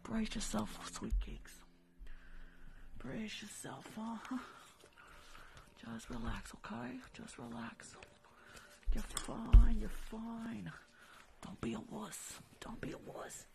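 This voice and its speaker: A woman's voice: a little high, lively intonation, slow, long pauses, noisy background. A woman doing a whispered ASMR roleplay as a rude dentist, bossy and teasing, often repeating short phrases. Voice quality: breathy whisper with creaky edges. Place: small room at home, indoors.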